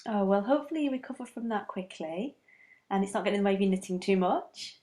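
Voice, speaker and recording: A woman's voice: mid-range voice, fast talker, warm tone. A woman hosting a podcast, chatting in an upbeat, conversational way. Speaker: podcast host. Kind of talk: casual podcast chat.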